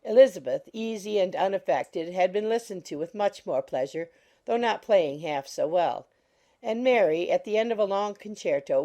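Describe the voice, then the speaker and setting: A woman reading scripted literary narration for an audiobook in a measured, formal manner. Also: on the low side, dark tone.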